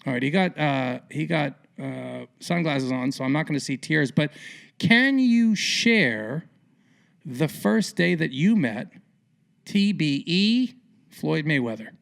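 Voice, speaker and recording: A man hosting a casual podcast interview in a colloquial, theatrical way with punchy, emphatic phrasing and a gravelly voice. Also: fairly high pitch, animated, slow, a bit bright. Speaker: podcast host.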